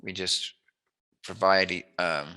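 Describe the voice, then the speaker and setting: A man's voice: low-pitched, steady tone, slow, pushed and bright, clear, resonant tone. A man hosting a casual chat interview, talking in a playful, jokey, musing way. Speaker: interviewer, host.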